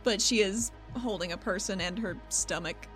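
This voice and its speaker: A woman's voice: medium pitch, moderate pace, a bit bright, faint music behind, low-quality recording. A woman playing in a tabletop roleplaying game, chatting in a wry, informal way. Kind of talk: casual roleplay chat.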